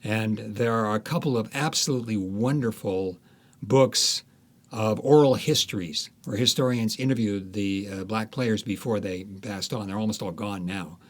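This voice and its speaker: A man's voice: fairly low pitch, normal speed. An American man in his seventies, an author being interviewed, telling anecdotes in an emphatic, enthusiastic way. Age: 70s. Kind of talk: reminiscing, anecdotal interview talk.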